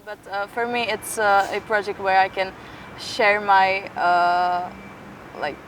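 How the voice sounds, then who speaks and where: A woman's voice: little pitch movement, slow, a bit bright. A young woman talking casually in an interview, hesitant and informal, often stalling mid-sentence. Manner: hesitant, filler-laden, informal. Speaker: youth project participant being interviewed. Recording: slightly distant mic, faint rumble.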